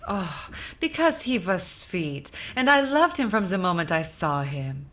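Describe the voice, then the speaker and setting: A woman playing a devoted, glamorous German wife in a radio comedy, melodramatic yet deadpan. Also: fairly low pitch, a little slow. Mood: coy. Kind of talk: old-time radio comedy acting.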